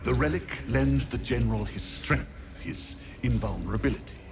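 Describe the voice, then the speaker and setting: An actor playing a pompous, scheming necromancer in a video game, condescending and theatrical in his deliberate speech. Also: mid-range voice, gravelly, natural voice. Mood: haughty, commanding. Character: pompous, scheming male necromancer, haughty and contemptuous.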